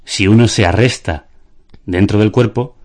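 An actor playing a deadpan, pedantic philosopher of the absurd in a radio theatre dialogue. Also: male voice, on the low side, moderate pace, natural voice. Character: deadpan absurd philosopher musing over news headlines.

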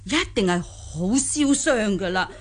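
An actress playing a naive, jolly old country granny in a radio drama, with folksy, exclamatory delivery. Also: female voice, mid-range voice, lively intonation, unhurried. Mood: wonderstruck.

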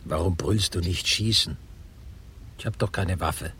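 A man's voice: low voice, measured pace, sharp tone, a little hiss or hum. An actor playing an irritated, suspicious man in a radio crime drama, curt and accusing. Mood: exasperated.

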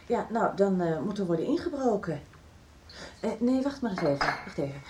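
Actress as a practical, chatty family doctor. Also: female voice, medium pitch, very animated, brisk, warm tone, lightly acted. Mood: practical, concerned. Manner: practical, informal. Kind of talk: 1990s Dutch radio soap acting.

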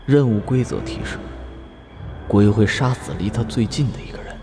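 Solemn horror-game rule announcer played by a man, low-leaning dark voice, faint music. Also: moderate pace, lightly acted. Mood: ominous, measured. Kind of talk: scripted horror game narration.

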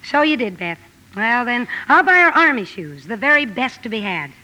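Spirited impulsive tomboy girl in an old-time drama, high and bright, hearty delivery. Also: big pitch swings, moderate pace, rough recording. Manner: hearty, eager, theatrical. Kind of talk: period radio drama acting with narration.

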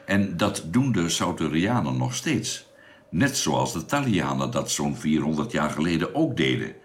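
Radio drama role: knowledgeable space crewman, very low voice, swooping intonation, measured delivery, some background noise. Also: big pitch swings. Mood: explanatory. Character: knowledgeable male space crewman explaining calmly. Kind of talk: Dutch science fiction radio drama acting.

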